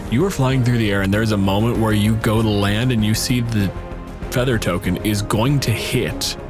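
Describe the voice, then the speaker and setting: A man's voice: on the low side, normal speed. A man working as game master, improvising vivid narration of a tabletop game in a casual way. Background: music.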